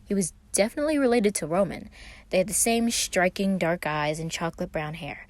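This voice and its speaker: Low-voiced woman narrating a story. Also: a little fast, dry recording. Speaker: fan fiction reader.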